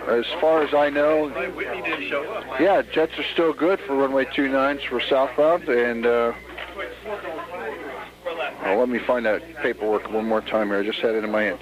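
A man working as an air traffic controller, giving clipped, procedural clearances over radio in a businesslike, polite way. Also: medium pitch. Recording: noisy narrowband radio channel with static clicks.

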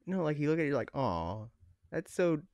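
A man's voice: fairly high pitch, very animated, brisk, darkish tone, no echo. A young man co-hosting a podcast in casual, conversational banter. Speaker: podcast co-host. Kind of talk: casual chat.

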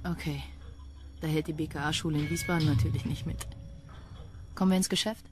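A woman's voice: low voice, steady tone, a little fast, over music, natural voice. Dry, sardonic policewoman, played by an actress. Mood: sardonic, cool. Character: cool, quick-witted female police detective. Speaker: radio drama actor. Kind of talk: German crime radio play acting.

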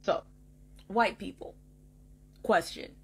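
A woman's voice: medium pitch, slow, gravelly, breathy edge. A woman posing a question directly to viewers in a short clip.

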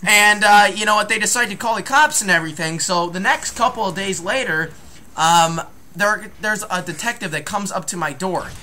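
A man's voice: sits high, normal speed, sharp tone, a little hiss or hum. A young man telling a story casually and crudely, as host of a gaming video. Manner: crude, joking. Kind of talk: casual storytelling over gameplay.

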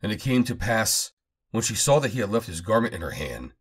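A man reading the Bible aloud as scripted narration, in a measured, declamatory manner, phrase by phrase. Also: on the low side, sing-song, husky. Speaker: Bible reader.

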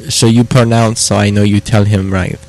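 A man's voice: lowish voice, little pitch movement, moderate pace, a little mellow, lightly acted. A man voicing an insistent foreign doctor on a prank call, speaking hesitant broken English and repeating himself. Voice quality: broken English with dropped endings. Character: foreign male doctor delivering test results, insistent. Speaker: prank caller.

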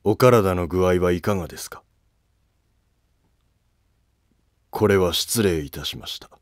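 Man as deep-voiced, deferential court official. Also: no echo, clean studio sound, natural voice. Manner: formal, humble, measured speech. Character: loyal male court official, deferential and earnest. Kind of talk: scripted historical drama dialogue.

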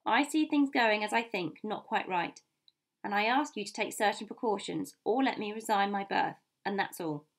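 Storybook sea captain, played by a woman reader: stern, gruff man, curt and emphatic, formal in his speech. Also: mid-range voice, moderate pace, close to an everyday voice. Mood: stiff, resolute. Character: gruff, blunt, stern male ship's captain. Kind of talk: audiobook character voice.

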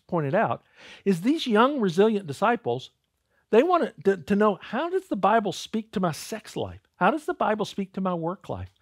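Animated middle-aged male pastor, dry sound. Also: fairly high pitch, no room sound. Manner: animated, gestures widely while preaching standing. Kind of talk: sermon. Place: church studio set with a screen, indoors.

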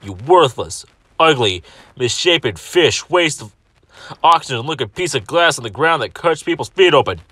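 A man playing an irate, contemptuous dragon in an amateur audition, delivering scripted insults with drawn-out, scornful emphasis. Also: mid-range voice, animated, pushed and bright, breathy edge, no room sound. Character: irate, contemptuous male dragon berating an underling.

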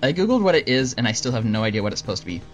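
A man reads scripted answers as a show host at a brisk clip. His delivery is dry and deadpan, with music playing underneath. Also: medium pitch. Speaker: host answering viewer questions. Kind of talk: scripted Q&A narration with deadpan jokes.

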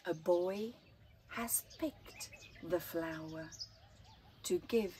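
Middle-aged female storyteller, unhurried and expressive children's read-aloud, dramatic pauses, outdoors with faint birdsong. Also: fairly low pitch, a little hiss or hum. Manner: deliberate, dramatic pauses between short phrases. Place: outdoors in an open gazebo at a flower farm. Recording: outdoor recording with birdsong behind. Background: birds chirping.

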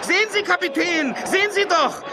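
Radio drama deckhand: scared sailor, strained very high, loud noise behind. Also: male voice, moderate pace. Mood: alarmed. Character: frightened sailor calling to his captain. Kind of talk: German radio horror drama acting. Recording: loud storm and crowd effects.

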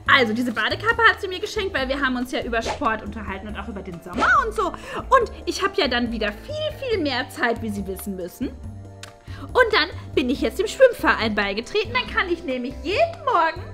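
A woman playing a haughty, scolding elderly teacher in a comedy sketch, pompous, shrill and indignant. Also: very animated, fairly quick, pushed and bright, soft background music, exaggerated voice. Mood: smug. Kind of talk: improvised comedy sketch acting. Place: small meeting room.

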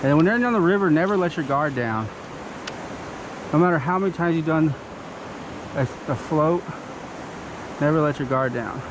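Excitable man kayaking a loud river. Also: fairly high pitch. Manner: excitable, exclaiming, instructive. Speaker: kayak fishing vlogger. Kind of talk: excited on-the-water commentary. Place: outdoors on a rushing river in a kayak. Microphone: action camera on the kayak. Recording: loud rushing water noise.

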